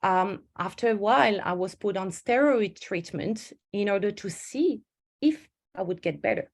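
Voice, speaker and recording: A woman's voice: mid-range voice, lively intonation, moderate pace. A woman, a stress management coach giving an online webinar, speaking in an earnest, personal way and often correcting herself mid-sentence. She speaks into a home computer mic on a video call. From Switzerland.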